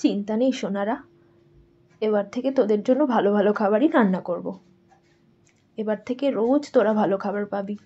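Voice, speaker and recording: Husky village mother played by a woman, muffled audio. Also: medium pitch, fairly quick, long pauses, warm tone, natural voice. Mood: tender. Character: worried, loving village mother of two young children. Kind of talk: dramatized doll-story dialogue. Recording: muffled audio with faint music.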